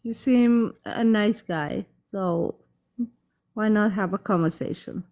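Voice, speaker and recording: A woman's voice: mid-range voice, takes it slowly, a little mellow. A woman from Germany, a guest on a live stream, chatting in an easygoing, friendly way. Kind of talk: casual chat.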